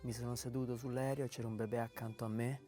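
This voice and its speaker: A man from Italy chatting in a loose, joking way. His pitch is middling, and faint music plays underneath. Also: flat delivery, very fast, warm tone. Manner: casual, joking storyteller. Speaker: language speaker in a guessing video.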